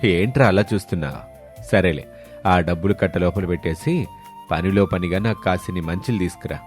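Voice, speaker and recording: A man voicing a kindly older landlord in an animated moral story, fatherly, affectionate and authoritative. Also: sits low, a little fast, faint music behind, natural voice.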